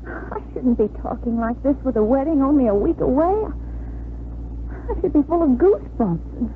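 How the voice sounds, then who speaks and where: A restless, torn young bride-to-be in a 1950s radio drama. Her voice sits fairly high and she speaks haltingly and earnestly. The sound is narrow and muffled, like an old broadcast, with slight hiss. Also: expressive. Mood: anguished. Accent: American. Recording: muffled vintage radio recording, faint hiss.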